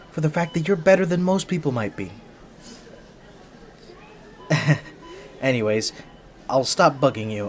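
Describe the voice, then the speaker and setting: A man's voice: fairly high pitch, slight background noise. A man playing a shy, flustered young bunny-boy hybrid in an ASMR roleplay, stammering and hesitant, earnest and sweet as he speaks to the listener. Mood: reassuring.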